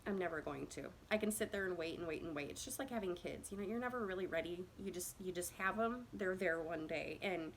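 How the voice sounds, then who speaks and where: A woman's voice: lowish voice, brisk, hardly any pauses, slight background noise, poor audio. A woman in her thirties from Indiana tells her own story to a phone camera in a casual, rambling way, with many fillers and self-conscious laughs. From Indiana, USA. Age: 30s.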